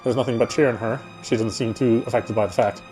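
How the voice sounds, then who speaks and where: A man streaming a game, chatting casually and reading its story aloud, often halting and correcting himself. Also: medium pitch, steady tone, a little mellow, rough recording. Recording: faint game music behind.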